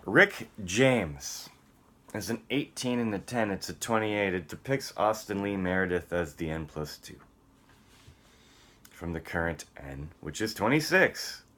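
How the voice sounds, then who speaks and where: Male vlogger, lowish forceful voice, combative and foul-mouthed, free-form rambling delivery. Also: animated, a little slow. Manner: profane, combative; abrupt swings between muttering and shouting. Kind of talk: rambling solo rant.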